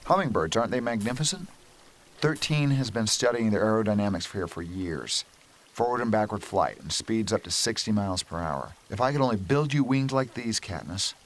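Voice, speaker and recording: Low-voiced, kindly male inventor, played by a man. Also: expressive, brisk. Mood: enthusiastic. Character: Beetee, kindly, enthusiastic male weapons inventor. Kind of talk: amateur radio drama acting. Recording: faint background noise.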